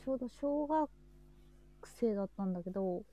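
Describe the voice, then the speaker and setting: A woman's voice: mid-range voice, animated, brisk, warm tone. A young woman chatting casually and informally as co-host of a group livestream. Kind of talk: casual chat.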